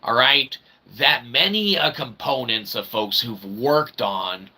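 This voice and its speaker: A man's voice: medium pitch, slow pace, sharp tone, breathy edge. A man delivering a rambling solo monologue in wordy, mock-formal phrasing, with theatrical swings in emphasis and repeated emphatic tags. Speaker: independent channel host and developer. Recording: noticeable background noise.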